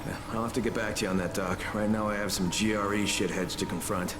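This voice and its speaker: A man's voice: lowish voice, steady tone, fast talker, close to an everyday voice. Blunt American action hero played by a man. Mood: guarded, determined. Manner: blunt, sweary, casual. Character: gruff, hot-headed young male action hero. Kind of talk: video game cutscene acting. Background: music.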